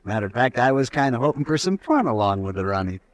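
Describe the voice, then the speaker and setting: An actor playing a brash, mocking young army lieutenant in a 1950s radio drama, swaggering and quick to laugh at others. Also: male voice, medium pitch, very animated, no room sound, close to an everyday voice. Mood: taunting. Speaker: cavalry lieutenant.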